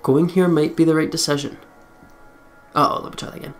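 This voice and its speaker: A let's play commentator with a medium-pitched voice reads a visual novel aloud over game music. The narration is expressive and story-like, broken up by casual, sweary and joking remarks. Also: fast. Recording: music behind the voice.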